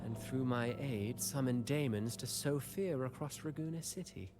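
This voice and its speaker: A man's voice: mid-range voice, normal speed, close to an everyday voice. Guy doing suave theatrical playwright villain for a game, polite but mocking, lingers on words, music under him. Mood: mock-courteous. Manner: theatrical, courteous yet needling, lingering on phrases. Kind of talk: dramatic video game dialogue.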